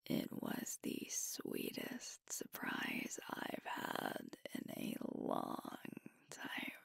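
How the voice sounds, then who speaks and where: An affectionate, teasing werewolf girlfriend, voiced by a woman in an intimate ASMR roleplay. Her soft voice is very low and full of creak, recorded close and dry. She lingers tenderly over her words with playful pauses. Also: huge pitch swings, dry recording, exaggerated voice. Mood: tender, grateful. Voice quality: soft, breathy, whispery. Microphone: close microphone.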